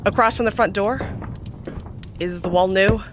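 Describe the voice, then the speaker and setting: A woman acts as a brisk female rescuer in a scripted drama. Her mid-pitched voice is urgent and blunt but protective, and loud clattering, rumbling effects surround her. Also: a little hiss or hum, natural voice. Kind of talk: scripted audio drama acting.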